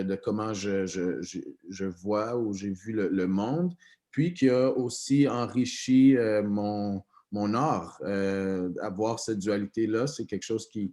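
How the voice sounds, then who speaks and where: Low-voiced, halting middle-aged man. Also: mellow. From born in Benin, grew up in Canada. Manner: halting, often repeats words. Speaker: hip-hop and street dance artist, panel guest. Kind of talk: reflective unscripted talk.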